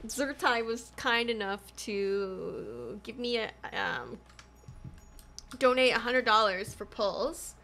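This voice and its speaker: A woman livestreamer giving casual, animated, slangy commentary while playing a game. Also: on the high side, slow, creaky. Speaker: gaming livestreamer. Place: small indoor room at home.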